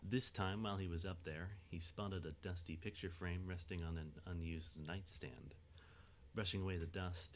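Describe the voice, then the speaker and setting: A man's voice: deep voice, a little hiss or hum. A man reading scripted narration for an audio drama as its narrator.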